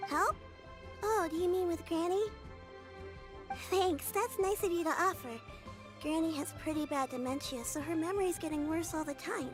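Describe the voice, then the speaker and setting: A woman's voice: very high-pitched, normal speed, pauses often, music in the background. A woman voicing a kind, plucky young child in a video game, with a childlike, earnest and polite delivery.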